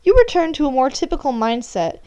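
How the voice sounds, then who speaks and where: A woman's voice: sits high, very animated, a little fast, mellow. A woman reading scripted second-person story narration, addressing the listener as the character. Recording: music playing behind. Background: background music.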